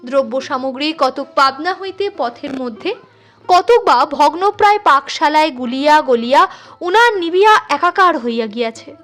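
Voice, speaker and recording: A woman's voice: high voice, expressive, normal speed, fairly bright tone, soft background music. A woman voicing an anxious, humble village father who pleads formally and apologetically in a story. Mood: apologetic, distressed.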